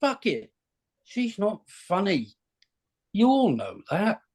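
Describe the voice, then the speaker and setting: A man's voice: sits high, huge pitch swings, slow pace, heavily acted. A man playing a drunk, bitter, dishevelled comedian in his late forties, rambling belligerently in a script reading. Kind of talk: screenplay read-through acting.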